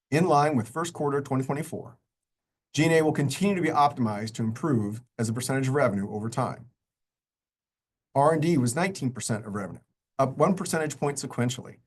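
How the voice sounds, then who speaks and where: A man with a mid-pitched voice reads a prepared corporate earnings presentation. His delivery is formal and measured, in the businesslike way of a finance executive addressing investors. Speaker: company finance executive presenting quarterly results. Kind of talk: scripted earnings-call presentation.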